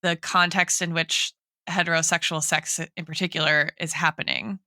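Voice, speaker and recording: A woman with a slightly low, smooth and bright voice co-hosts a podcast in a dry-sounding space. She discusses ideas conversationally, with academic wording and a tentative way of building each point. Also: little pitch movement, moderate pace, dry recording. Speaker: podcast co-host.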